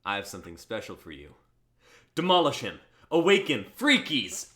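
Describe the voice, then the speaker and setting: A man's voice: mid-range voice, huge pitch swings, normal speed, bright, forceful tone. A young man playing a brash, hot-headed card-summoning fighter, dramatic and cocky, in an amateur script reading. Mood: fierce shouting. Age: young adult.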